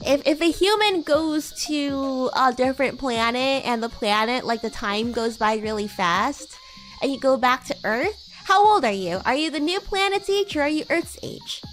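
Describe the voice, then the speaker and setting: A woman's voice: sits high, expressive, moderate pace, a bit bright, faint music behind. A woman voicing a bratty, teasing young demon classmate in an improvised livestream roleplay, rambling playfully. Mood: playful explaining. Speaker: VTuber streamer.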